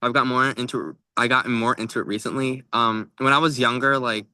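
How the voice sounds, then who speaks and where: A young man with a bright, forward voice at a middling pitch co-hosts a podcast. He talks casually and jokingly, rambling with plenty of fillers. The recording is dry. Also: fairly even intonation, brisk, no echo. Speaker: podcast co-host. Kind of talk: casual chat.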